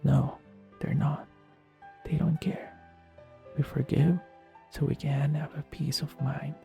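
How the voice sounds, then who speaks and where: A man playing a gentle, caring best friend, speaking in a soft, breathy voice with soothing, earnest, unhurried delivery in an intimate comfort roleplay over music. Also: on the high side, level tone. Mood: calm, consoling. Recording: music under the voice. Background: soft instrumental music.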